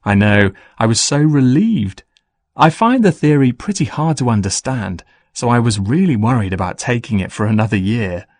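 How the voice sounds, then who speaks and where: A man playing a busy, friendly young business student in a scripted, clearly enunciated listening-test dialogue. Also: mid-range voice, animated, normal speed, dry recording. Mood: earnest, friendly.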